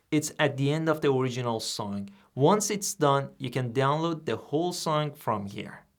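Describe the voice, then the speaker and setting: A man whose first language is Persian, hosting a software tutorial and explaining each step in a plain, steady way. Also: mid-range voice. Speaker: tutorial host. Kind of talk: screen-recorded software tutorial.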